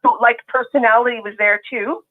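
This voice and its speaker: A woman's voice: on the high side, fairly even intonation, brisk. A woman with a Canadian accent calling in to a talk show, chatting eagerly and laughing often. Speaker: caller. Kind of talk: casual call-in chat. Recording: phone line.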